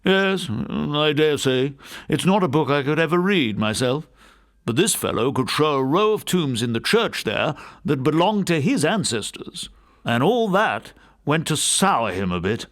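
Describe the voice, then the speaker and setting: Man playing a rambling English gentleman storyteller, lively pitch. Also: a little slow. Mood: reminiscing, musing. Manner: rambling, conversational, genteel recollection. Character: talkative English gentleman scholar, Green, recounting local gossip.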